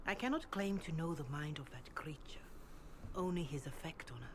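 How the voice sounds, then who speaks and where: A middle-aged holy woman in a fantasy video game, low in pitch and solemn. She counsels calmly in formal, measured phrases, devout and grave. Clear noise sits behind her voice. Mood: grave, hushed. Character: calm, devout middle-aged religious Mother counselling softly. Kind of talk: video game dialogue acting.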